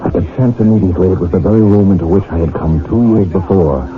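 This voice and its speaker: An actor playing a haunted young English husband in a radio drama, speaking in a grave, dramatic way. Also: male voice, low voice, quick pace, close to an everyday voice. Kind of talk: radio drama acting and first-person narration. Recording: tinny old radio broadcast with loud music.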